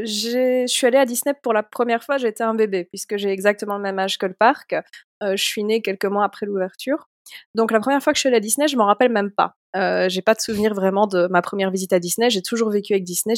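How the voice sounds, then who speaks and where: A Belgian woman in her thirties, co-hosting a podcast and telling a personal story in a lively way, often laughing. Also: on the high side, no echo, clean studio sound. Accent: Belgian French. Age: 30s. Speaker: podcast co-host. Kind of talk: casual podcast chat.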